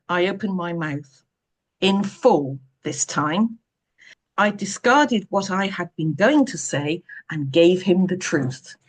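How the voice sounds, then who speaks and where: A woman's voice: lowish voice, slightly rough. A woman reading a first-person story aloud in a dry, wry, understated way. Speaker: author reading own novel aloud.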